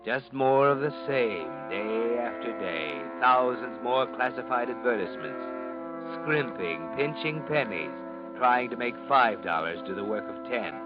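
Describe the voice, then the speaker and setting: A man's voice: medium pitch, lively intonation, unhurried, natural voice. An actor playing a sinister, taunting narrator in a 1940s radio mystery, insinuating as he addresses a guilty man. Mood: tempting, insinuating. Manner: insinuating, mocking, addressing the character as you. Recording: muffled old radio broadcast, organ-style music. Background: loud dramatic music.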